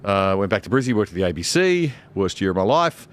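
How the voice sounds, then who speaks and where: A man's voice: deep voice, big pitch swings, fast. A middle-aged Australian man, a broadcaster on a discussion panel, talking emphatically with many fillers and restarts.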